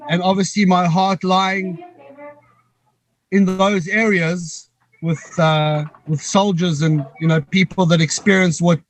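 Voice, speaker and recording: A middle-aged man from Africa, answering an interview in a halting, reflective way that often pauses to find words. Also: high voice, no echo, poor audio. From South Africa. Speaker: guest, co-founder of a veterans paddling program.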